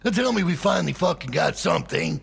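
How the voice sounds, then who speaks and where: Gravelly, brash crime boss. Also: male voice, high voice, lively intonation, a bit bright, in a large hall, exaggerated voice. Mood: impatient. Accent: American. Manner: profane, slangy, drawn-out. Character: brash, foul-mouthed, impatient middle-aged male crime boss. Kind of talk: cinematic video game acting.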